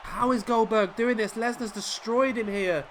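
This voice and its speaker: Man giving excitable game commentary. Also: high-pitched, moderate pace, noisy background. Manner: excitable, rising during big moves. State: sniffly with a cold. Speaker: gaming YouTuber commentating a wrestling game. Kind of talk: play-by-play commentary.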